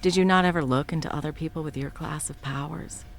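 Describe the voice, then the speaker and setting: A woman's voice: sits low, quick pace. A woman in her thirties voicing a profane, blunt woman supervillain in an audio roleplay, mocking at first and then coaxing with pet names. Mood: indignant. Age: 30s. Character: sharp-tongued woman supervillain, long-time arch-enemy.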